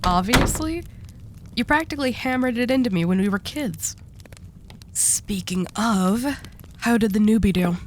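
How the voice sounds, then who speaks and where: Lady playing a sharp-tongued mob boss woman, wry and curt, audio rp, bit of background noise. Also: on the high side, measured pace, close to an everyday voice. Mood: wry, fond. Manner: dry, curt, teasing. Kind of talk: audio roleplay drama acting.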